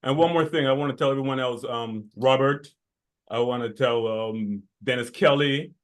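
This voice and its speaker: A man's voice: mid-range voice, normal speed. A man chatting casually on a group video call, with a playful, cocky, teasing way of talking. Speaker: workshop attendee.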